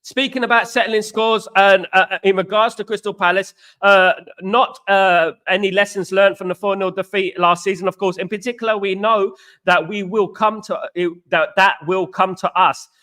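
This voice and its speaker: A man's voice: high voice, fairly even intonation, normal speed, pushed and bright. A man from England hosting a casual livestream, chatty and greeting viewers by name, often repeating himself. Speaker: host.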